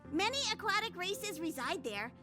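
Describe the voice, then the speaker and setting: A woman's voice: extremely high pitch, big pitch swings, normal speed, full character voice. A woman voicing a cute, bossy young creature conductor in a video game. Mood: businesslike, perky. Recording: loud music behind the voice.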